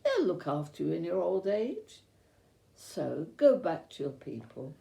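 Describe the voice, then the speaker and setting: A woman voicing a kindly, selfless older widowed mother in a children's storybook tale, gentle and earnest. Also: on the low side, wildly swooping pitch, unhurried, creaky, full character voice. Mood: tender, resigned. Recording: roomy, echoey sound.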